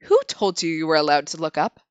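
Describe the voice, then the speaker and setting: A woman's voice: sits low, fast, clear, resonant tone, no echo, voice pushed down, lightly acted. A woman voicing a stern older woman who scolds harshly, with a cutting tone and a raised voice.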